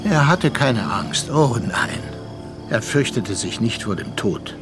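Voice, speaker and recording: An actor playing a grave, probing storyteller, narrating a literary radio drama in a measured, deliberate way. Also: male voice, mid-range voice, lively intonation, music in the background. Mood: grave narration.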